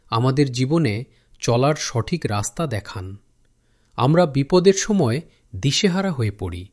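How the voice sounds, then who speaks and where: A man's voice: medium pitch, a little mellow. A man voicing a humble, anxious village man in a cartoon, eagerly pleading for help. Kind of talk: scripted cartoon dialogue.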